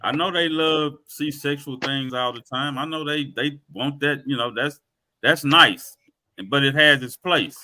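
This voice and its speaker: A man in his forties with speech and a very bright, slightly high voice. He hosts a loose group talk, restarting phrases and nodding along with short affirmations. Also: measured pace, no echo. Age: 40s. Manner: repeats himself, steady affirming. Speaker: host. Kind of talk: casual panel chat.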